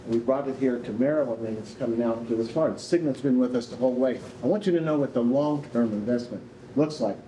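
An older man hosting a live stage event, speaking off the cuff to the audience and guests in an animated way. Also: mid-range voice, fast. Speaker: event host, researcher. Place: indoor rehearsal hall, on stage. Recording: echoey room, faint background noise.

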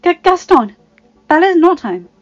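A woman's voice: sits high, very animated, fast, dry recording, voice pushed up. A loving, protective mother from a storybook, played by a woman narrator. Her voice is raised and nervous, yet firm. It sounds slightly muffled, with music underneath.

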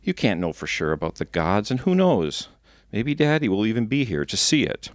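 Eager teen girl, hopeful and a bit anxious, guy reading her out loud with a low voice, dry room. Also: big pitch swings, normal speed, no echo.

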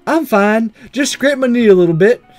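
A man voicing a breezy, competitive, good-natured schoolgirl athlete in a visual novel, brisk and lifted. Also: very high voice, animated, soft background music, pitched above the performer's own voice. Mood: breezy, cheerful. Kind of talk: visual novel read-aloud.

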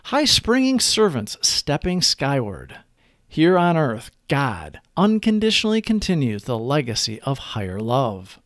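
A man giving a scripted devotional reading as narration, with measured, emphatic phrasing. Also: medium pitch, huge pitch swings, dry recording, studio quality. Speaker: reader of daily recovery reflections.

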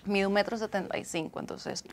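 A woman's voice: medium pitch, steady tone, quick pace, slight background noise. A young woman with a Venezuelan accent chats casually and expressively as she hosts a live stream answering viewer comments. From Caracas, Venezuela. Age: young adult. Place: small indoor room with couch and acoustic foam.

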